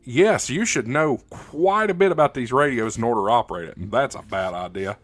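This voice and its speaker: A man hosting a casual hobby livestream, answering comments in a halting, plain-spoken, opinionated way, often repeating words as he goes. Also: medium pitch, lively intonation, no echo, soft background music. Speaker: livestream host, ham radio hobbyist.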